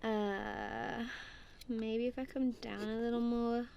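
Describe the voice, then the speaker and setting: A woman's voice: on the high side, steady tone, slow pace, gravelly, dark tone, lo-fi sound, slight background noise. A woman doing a friendly, goofy ASMR roleplay as casual one-sided chat, playful and giggly, with a self-deprecating, apologetic manner.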